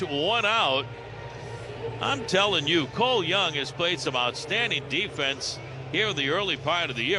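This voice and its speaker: Guy w a highish voice calling baseball on the radio, folksy n animated, crowd-park noise behind. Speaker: baseball play-by-play announcer. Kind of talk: live radio sports commentary. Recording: noisy live ballpark radio broadcast. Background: outdoor stadium ambience.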